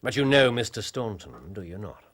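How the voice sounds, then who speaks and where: An actor playing a shrewd, confident Victorian detective in a period drama, with an English accent and a theatrical, incisive, deliberate delivery. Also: male voice, on the low side, sing-song, a bit bright. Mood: probing, cool. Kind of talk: period radio drama dialogue. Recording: clearly noisy, ambient background.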